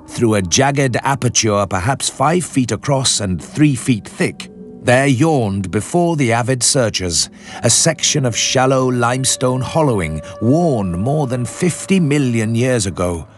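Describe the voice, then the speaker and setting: A male actor plays a scientist looking back on a doomed expedition in a radio horror story. He tells it gravely and formally, in a measured mid-pitched voice, with an anxious weight. Music runs beneath him. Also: animated, close to an everyday voice. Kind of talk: dramatic literary audiobook narration for radio. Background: music under the voice.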